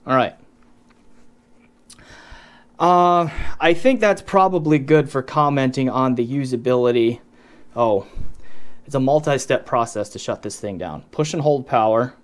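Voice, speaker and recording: A man giving a casual, unscripted gear review, explaining things conversationally and often breaking off his own sentences. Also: medium pitch. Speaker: product reviewer.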